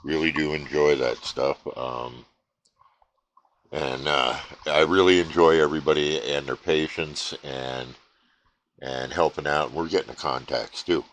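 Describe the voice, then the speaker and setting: A man casually chatting about his radio hobby at his home station, relaxed and rambling with many fillers. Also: a bass voice, a little slow. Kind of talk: casual chat to camera.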